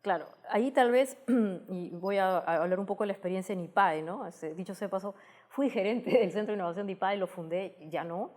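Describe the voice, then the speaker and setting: A woman's voice: medium pitch, a little fast, a little mellow. Woman with a Peruvian accent. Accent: Peruvian Spanish. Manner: thoughtful, frequent restarts and tag questions. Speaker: guest, tech communicator. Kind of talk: interview answers, explanatory.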